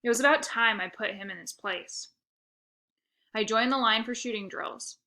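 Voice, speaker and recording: A woman narrating a children's book aloud with expressive, first-person storytelling. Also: on the high side, normal speed.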